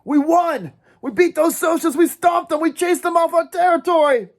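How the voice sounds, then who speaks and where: A man's voice: very high voice, animated, fairly quick, gravelly, pitched above the performer's own voice, full character voice. A man voicing a brash, hotheaded teenage tough. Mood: forced cheer. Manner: urgent, brash, sneering drawl.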